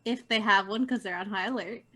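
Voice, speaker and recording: A woman's voice: medium pitch, fairly quick, sharp tone. A woman playing a tabletop game, chatting casually in a playful, teasing way.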